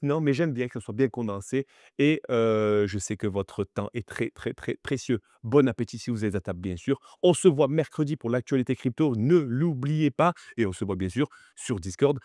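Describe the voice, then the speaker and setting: A man hosting a crypto market video, chatty and animated, with many fillers and direct appeals to viewers, sounding a little stuffy from the cold. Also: medium pitch, dry recording, clean studio sound. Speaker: crypto channel host. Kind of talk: crypto market analysis vlog.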